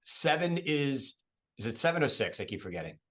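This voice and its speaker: A middle-aged man with a Boston accent hosting a podcast, chatty and quick with questions, laughing often. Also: medium pitch, sing-song, a bit bright. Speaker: podcast host. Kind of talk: casual interview chat.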